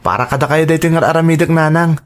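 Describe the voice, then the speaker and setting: A man's voice: on the high side, steady tone, fast talker, lightly acted. A man playing a dutiful teenage son in a radio drama, tender and earnest, with an Ilocano accent.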